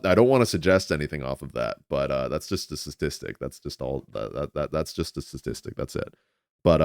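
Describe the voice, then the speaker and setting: A man's voice: low-pitched, clear, resonant tone, dry recording, clean studio sound. A man in his twenties, a native Spanish speaker, chatting casually as a livestreamer in a rambling way, often repeating words. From United States. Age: 20s. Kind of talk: casual chat with stream viewers.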